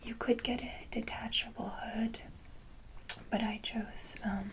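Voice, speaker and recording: A woman hosting an ASMR video, speaking casually in a breathy, gentle whisper, in short halting phrases. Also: fairly high pitch, flat delivery, slight background noise, low-quality recording. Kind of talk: soft-whispered ASMR, casual show-and-tell.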